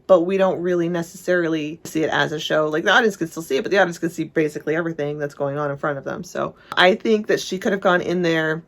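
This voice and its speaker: A woman giving casual, unscripted film commentary to viewers in a chatty, rambling, self-deprecating way. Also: low voice. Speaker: video essayist reviewing a film.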